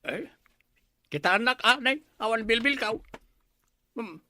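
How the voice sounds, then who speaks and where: A chatty village gym owner with an Ilocano accent, coaxing and teasing in a radio comedy. The voice is very high for a man and sharply bright. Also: lively intonation, unhurried, exaggerated voice. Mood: boastful, persuading.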